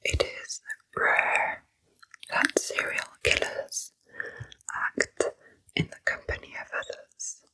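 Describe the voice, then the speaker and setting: A woman narrating true crime in ASMR style, in a hushed, breathy whisper with deliberate storytelling. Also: rough recording. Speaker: narrator, ASMR channel host.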